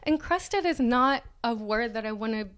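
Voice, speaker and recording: A woman's voice: a little high, lively intonation, moderate pace, fairly bright tone, smooth. A woman co-hosting a podcast, giving a mocking dramatic reading of an article with casual asides, prone to laughing as she reads. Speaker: podcast co-host.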